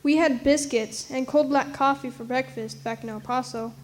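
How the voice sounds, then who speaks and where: A streetwise young orphan voiced by a woman narrates a radio play. The voice is high. The telling is plucky, folksy and wry. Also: steady tone, moderate pace, lightly acted. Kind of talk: storytelling narration in radio drama.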